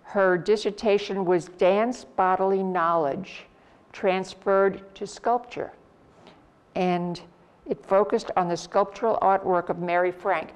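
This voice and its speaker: A woman hosting an art salon, giving a measured, formal introduction of the evening's speaker. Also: mid-range voice, steady tone, smooth. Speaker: host of art salon talks.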